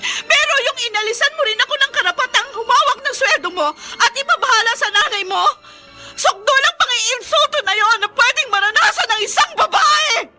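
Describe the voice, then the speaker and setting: An actress with a Filipino accent playing an aggrieved, tearful young wife in a melodramatic radio drama. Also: female voice, very high voice, animated, brisk, pushed and bright, heavily acted. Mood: tearful fury. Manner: emotional, tearful, reproachful. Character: aggrieved young wife walking out on her jealous husband. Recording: radio drama with music behind.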